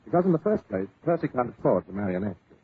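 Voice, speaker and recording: An actor playing a blustering, hesitant English lord with an upper-class British accent in 1940s radio drama style. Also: male voice, lowish voice, sing-song, racing. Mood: earnest, worried. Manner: hesitant, stammering, indignant. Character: flustered, blustering wealthy English lord, devoted to an actress. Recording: noisy, tinny 1940s radio broadcast.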